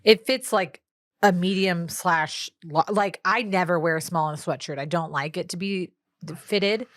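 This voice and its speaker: Woman with a somewhat deep voice. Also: moderate pace, dry recording, clean studio sound. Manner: chatty, playful, self-deprecating. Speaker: podcast co-host. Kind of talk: casual podcast chat.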